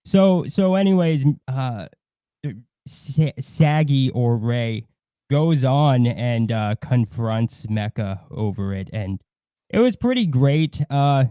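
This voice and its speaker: Mellow, halting man chatting on a livestream. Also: mid-range voice, lively intonation, clean tone, dry recording. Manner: rambling, halting, frequent swearing. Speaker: co-host of a livestream. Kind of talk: casual live commentary and gossip.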